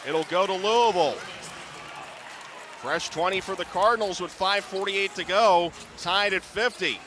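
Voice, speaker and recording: A middle-aged man calling live basketball on radio in clipped running descriptions that can rise to excited calls. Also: high-pitched, a little slow, a crowd in the background, background noise. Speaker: play-by-play basketball announcer. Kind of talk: live radio sports commentary. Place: courtside in a basketball arena.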